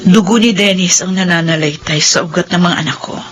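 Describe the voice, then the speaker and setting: A woman's voice: lowish voice, a little fast, slight background noise, lightly acted. An actress playing a widowed young mother in a radio drama, shaky and tearful, with a stammer. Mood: pleading. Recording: radio drama with faint music.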